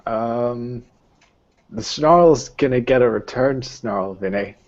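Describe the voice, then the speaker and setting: A man's voice: medium pitch, slow pace, highs cut off. A man acting as game master, running a tabletop roleplaying session.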